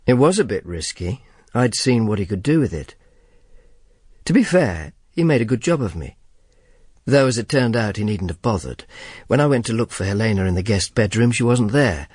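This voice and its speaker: A man's voice: fairly low pitch, big pitch swings, normal speed, darkish tone, breathy edge, no echo. A man playing a world-weary, sardonic Roman informer who narrates and speaks in a radio drama. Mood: dry, wry. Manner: dry, sardonic. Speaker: lead actor and narrator.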